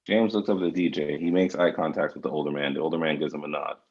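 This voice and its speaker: A man's voice: very deep voice, fairly even intonation, fast talker, muffled recording. A man reading out screenplay directions and speaking casually as the writer at a table read. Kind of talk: screenplay narration.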